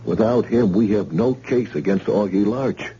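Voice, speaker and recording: Insistent cop questioning a witness, actor voicing him, lowish mellow voice, old muffled radio sound. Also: male voice, moderate pace, breathy edge. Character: pushy police detective sergeant. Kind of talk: old-time radio drama acting. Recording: dull lo-fi old radio recording.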